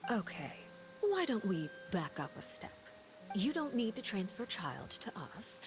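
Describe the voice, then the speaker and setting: A woman's voice: medium pitch, very animated, measured pace. A woman voicing a coolly menacing, commanding diplomat in a video game, formal and controlled. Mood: insistent. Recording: game music behind.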